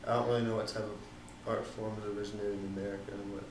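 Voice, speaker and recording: Low-voiced young man, hesitant, echoey room. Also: flat delivery, racing, far from the mic, slight background noise. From Netherlands. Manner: hesitant, many ums and likes. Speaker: interviewee. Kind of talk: casual interview answers.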